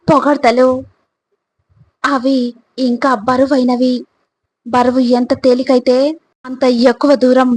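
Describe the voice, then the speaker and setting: A woman reading scripted motivational narration in a deliberate, instructive manner, addressing the listener directly. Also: high-pitched, low-quality recording.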